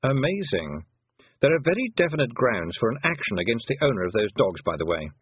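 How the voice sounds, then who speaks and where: A talking toad, voiced by a man reading aloud that sounds dry and lawyerly, with every point made matter-of-factly. The voice is husky and dry, and it comes through a tinny phone-like sound. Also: mid-range voice, big pitch swings, a little fast, no room sound.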